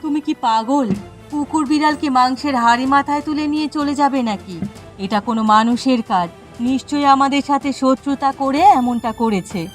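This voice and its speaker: A woman's voice: high-pitched, moderate pace, soft background music, lightly acted. A woman voicing an aggrieved village wife who runs a food stall in a cartoon, plaintive and exasperated. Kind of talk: cartoon dialogue acting.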